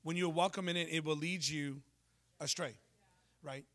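A man in his thirties preaching a sermon on a church stage into a handheld mic, telling lively stories and asking the congregation questions. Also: on the high side, normal speed. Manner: animated storytelling preacher, jokes and asks the crowd questions. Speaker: pastor.

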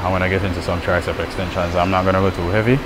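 Male fitness vlogger, thirties, low-pitched and easygoing, casual delivery recorded against heavy background noise. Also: level tone, rapid-fire. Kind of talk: casual vlog chat. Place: car at night, then a large indoor gym. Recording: loud ambient noise, rumbling ventilation.

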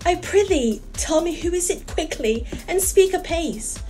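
A woman's voice: very high-pitched, expressive, a little slow, warm tone, faint music behind. A woman playing an eager, impatient, lovestruck young woman in a Shakespearean audio comedy.

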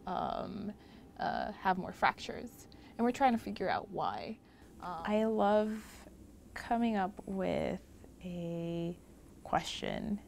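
A young woman from Puerto Rico, a research scholar, talks about her work in a documentary interview, pausing often with ums between phrases. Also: medium pitch, creaky, a little hiss or hum. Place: office lined with bookshelves.

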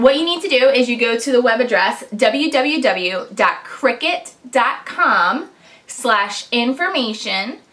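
A woman's voice: on the high side, pushed and bright. Stuffy-nosed chatty woman vlogger. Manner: chatty and upbeat, talks straight to camera. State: stuffy from a cold. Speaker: crafting channel host. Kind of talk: casual tutorial vlog. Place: small room indoors at home.

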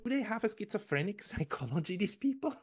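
A man in his thirties speaks in a fairly high voice. He hosts a conversational interview from a room over a video call. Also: very animated, fairly quick, slightly rough. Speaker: interview host.

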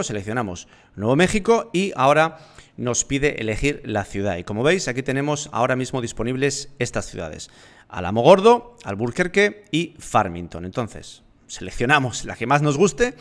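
A man, seated indoors and giving a steady, step-by-step tutorial on online selling. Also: medium pitch. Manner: explanatory, steady, walks through steps. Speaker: online business instructor. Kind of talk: screen-share tutorial. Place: indoor room, seated at desk.

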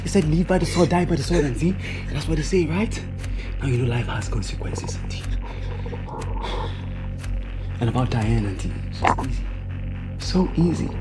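A gloating, mocking villain. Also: female voice, deep voice, expressive, fairly quick, loud music behind the voice, rough recording. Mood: cold. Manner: mocking, sing-song, swings to whispers. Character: cruel vengeful woman taunting a captive aunt. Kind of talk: film drama acting.

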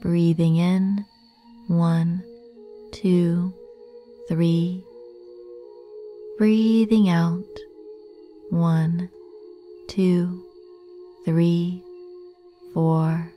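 A woman leading a guided meditation in a gentle, soothing, unhurried manner with long pauses. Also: fairly low pitch, steady tone, soft background music.

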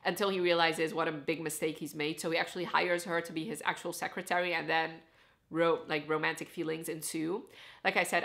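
Chatty young Dutch woman, bright voice. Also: lowish voice. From Netherlands. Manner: chatty, enthusiastic, says "like" often. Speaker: book vlogger. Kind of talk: casual talk to camera. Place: living room with full bookshelves, indoors.

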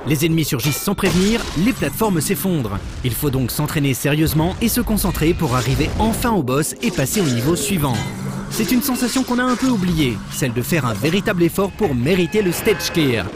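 Male reviewer voice-over, animated delivery over clear music. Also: on the high side, fairly quick. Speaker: game reviewer. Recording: voice-over with loud music bed.

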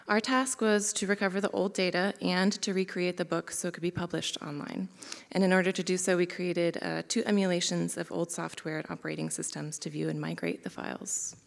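A woman's voice: fairly low pitch, creaky. A young woman graduate student presenting a project at a conference from a prepared script. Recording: hall reverb.

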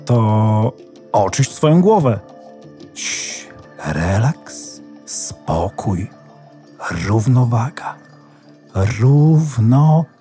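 Very deep, slow zen guru computer memory. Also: female voice, big pitch swings, warm tone, faint music behind, exaggerated voice. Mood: calm, guiding. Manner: soothing, drawn-out, mock-meditative. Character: computer RAM memory as a serene, bossy yoga guru. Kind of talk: children's radio play.

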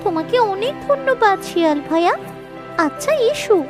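A woman's voice: very high voice, expressive, moderate pace, over music. A woman voicing a small, loyal talking myna bird in a cartoon, anxious and urgent. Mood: grateful. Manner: urgent, pleading. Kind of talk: cartoon fairy-tale voice acting.

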